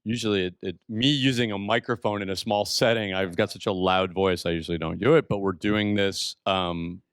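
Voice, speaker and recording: A man's voice: sits low, steady tone, fairly quick, few pauses, clean tone, no room sound, studio quality. Chatty man hosting with a handheld mic. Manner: chatty, jokey, many fillers. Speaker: event host, executive director. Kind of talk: welcoming introduction. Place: university entrepreneurship floor. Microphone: handheld microphone.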